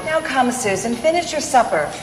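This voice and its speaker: A woman playing a gentle, reassuring mother in an old-time radio play, recorded in an echoey hall. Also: sits high, very animated, normal speed, music in the background. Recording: echoey hall from afar, faint noise.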